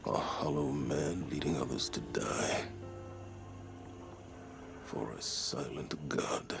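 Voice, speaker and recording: Gravelly, grief-worn old commander. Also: male voice, over music, exaggerated voice. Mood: hollow, sorrowful. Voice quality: deep, gravelly. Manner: heavy, deliberate, brooding delivery. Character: grief-stricken veteran military commander, weary, guilt-ridden man. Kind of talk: dramatic video game acting.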